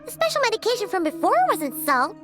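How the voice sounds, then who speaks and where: A tiny, excitable fairy sidekick in a video game. The voice is very high and childlike, bouncing all over in pitch, with prominent music behind it. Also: female voice, fast. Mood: curious chatter. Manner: refers to self in third person, exclamatory. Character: small chirpy childlike fairy companion, excitable and nosy.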